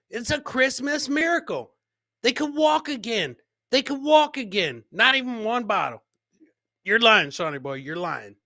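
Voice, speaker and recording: A man with a high, forceful voice hosts a comedy podcast, riffing casually on clips. He rambles jokingly and circles back to the same catchphrases, animated and exclamatory. The sound is close and dry. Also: dry recording. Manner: rambling, jokey, repeats catchphrases. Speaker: host of a live comedy podcast. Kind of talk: casual live reaction commentary on funny clips.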